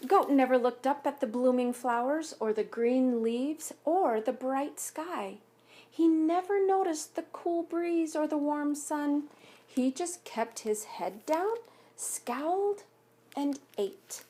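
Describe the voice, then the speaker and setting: A woman reading a picture book aloud to children like a school teacher, pausing to explain things and ask questions. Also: high voice, animated, dark tone. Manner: instructive, asks listeners questions. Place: home basement by a fire.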